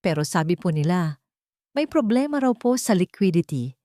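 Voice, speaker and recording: A woman's voice: on the low side, big pitch swings, normal speed, no room sound, studio quality. Woman acting a humble, trembling old foreman. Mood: pleading. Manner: soft, respectful, slightly trembling. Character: humble, pleading elderly male construction foreman.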